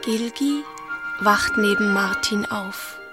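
A woman's voice: mid-range voice, takes it slowly, natural voice. An actress playing an observant, critical female commentator in a radio drama chorus. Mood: reflective. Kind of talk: radio drama choral narration. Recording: loud music behind.

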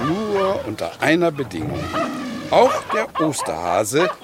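An actor playing a quirky animal doctor in a children's radio play, hesitant but principled. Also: male voice, medium pitch, huge pitch swings, a little slow, loud music behind the voice. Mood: hesitant, insistent. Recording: clear animal noises and ambience behind.

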